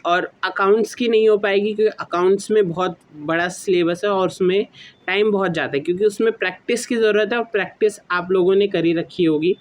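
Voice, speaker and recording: A man's voice: high voice, a little fast. A young man giving informal, explanatory advice to students, mixing in English words and explaining in a rambling, repetitive way. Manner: mixes English words into speech. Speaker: student YouTuber giving exam study advice.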